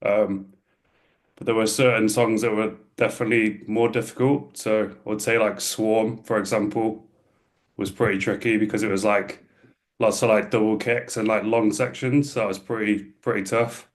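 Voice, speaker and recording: A man's voice: lowish voice, little pitch movement, moderate pace. A man, a band's drummer, answering interview questions in a plain, easygoing way with many likes.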